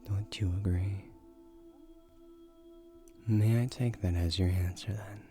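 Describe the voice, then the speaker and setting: Casting: male actor as ancient tree guardian, male, romantic fantasy audio drama. Lowish, very slow delivery, formal, seductive, comforting, often near a whisper. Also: darkish tone. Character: ancient male guardian spirit of a magic tree, tender, courtly suitor. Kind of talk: romantic scripted audio roleplay.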